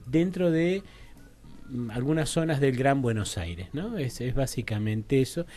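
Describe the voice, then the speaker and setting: A mellow, soft-edged male voice with a Rioplatense Argentine accent, mid-pitched, over faint music. He explains things as a radio guest, haltingly, often searching for the next word. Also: lively intonation. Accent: Rioplatense Argentine Spanish. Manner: hesitant, searching for words, often adds tags. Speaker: guest psychologist and researcher. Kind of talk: explanatory radio interview talk. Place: radio studio table.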